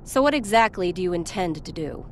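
An actress playing a skeptical government aide in an animated game, with a crisp, formal, matter-of-fact delivery. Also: female voice, on the low side, brisk, slight background noise, lightly acted. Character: brisk, businesslike, skeptical female government aide. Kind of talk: dubbed animated game cutscene acting.

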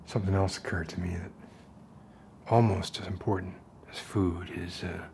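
Low gravelly actor as unstable male survivalist. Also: steady tone, darkish tone, a little hiss or hum. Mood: weary, coaxing. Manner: halting, hesitant, rambling. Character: unstable middle-aged male survivalist captor, falsely reassuring. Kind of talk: ASMR roleplay, soft-spoken acted monologue. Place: dim concrete basement, indoors.

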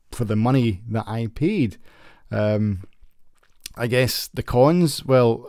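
A man in his thirties talks through a product review in a plain, modest way, with the odd filler. His voice sits low, and it is caught close on a condenser mic in a small home studio. The sound is very dry, with no room around it. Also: mellow, no echo. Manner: plain, unpolished, self-deprecating talk with fillers. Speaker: tech reviewer. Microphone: large studio condenser mic close up, headphones on.

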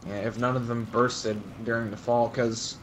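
A man's voice: mid-range voice, level tone, moderate pace, a little hiss or hum, rough recording. A man chatting casually in character during an online roleplay game. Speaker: roleplay gamer.

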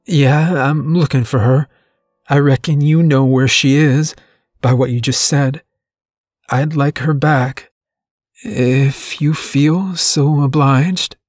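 A man's voice: a little high, fairly even intonation, takes it slowly, pauses often, darkish tone, no echo, studio quality. Man voicing a shaken, stubborn young man. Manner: stammering, plainspoken.

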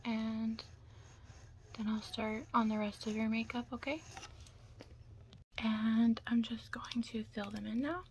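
Young woman, soft breathy ASMR, often whispered, higher-pitched, reassuring close personal attention, clear background noise. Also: fairly even intonation, slow, long pauses. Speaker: ASMR performer playing a makeup stylist.

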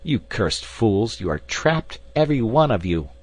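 A man's voice: on the low side, sing-song, unhurried, voice pushed up. A man voicing a frenzied, vengeful villain who taunts and gloats theatrically in an audiobook adventure story. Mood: gloating fury.

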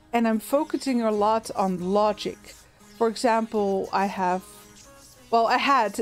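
A woman with a soothing voice streaming a game, chatting casually with viewers and thinking aloud with fillers and small laughs. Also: fairly high pitch. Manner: relaxed, chatty, frequent little laughs and fillers.

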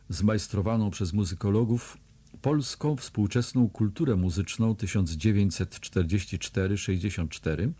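Husky, low-voiced man reading diaries. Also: warm tone. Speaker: audiobook reader. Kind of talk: reading diary entries aloud.

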